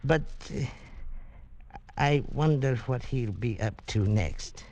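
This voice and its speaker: Creaky portuguese landlord, old time radio, halting english, slow and sly, fretful. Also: male voice, medium pitch, expressive, a little mellow, muffled recording, slight background noise. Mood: sly, ominous concern. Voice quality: gravelly, creaky. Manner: halting, broken English, falsely reassuring. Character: fretful, insinuating Portuguese hut landlord in colonial Africa. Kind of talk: 1940s radio acting. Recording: vintage radio drama recording.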